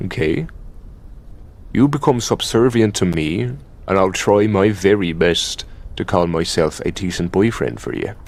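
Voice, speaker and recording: An Irish elder demon boyfriend , played by a man, speaks in a fairly low voice. He is exasperated and possessive, mocking in a halting, conversational way with plenty of swearing. The delivery is that of an intimate ASMR roleplay monologue. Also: a little mellow. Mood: possessive, bargaining.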